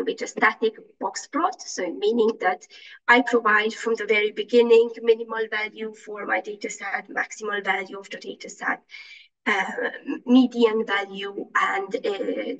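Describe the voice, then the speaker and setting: A woman's voice: mid-range voice, creaky, a bit bright, dull audio. Woman giving a talk on a video call, lots of ums, voice a bit far from the mic, non-native german speaker. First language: not German. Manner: hesitant, many fillers and restarts. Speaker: conference presenter. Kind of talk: conference talk over slides.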